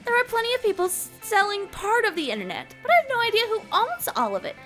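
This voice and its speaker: A woman's voice: very high voice, sing-song, quick pace, a bit bright, soft background music, exaggerated voice. A woman voicing a haughty, pampered young princess with prim, lofty royal diction, read from a game. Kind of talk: reading game dialogue aloud.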